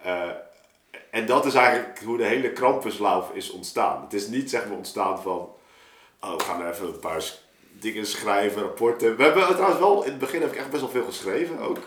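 A man's voice: on the low side, a little fast, a bit bright. A man chatting casually and explaining his creative process, often digressing and interrupting himself mid-thought. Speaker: artist, story maker on a podcast. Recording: roomy, echoing room sound.

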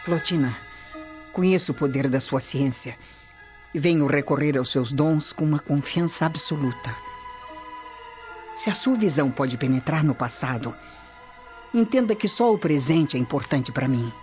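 Soft music sits behind a Roman noblewoman in a dull-sounding radio drama. She speaks formally and urgently, an imperious schemer with an anxious, insistent edge. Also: sits low, lively intonation, moderate pace, pauses often. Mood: pleading.

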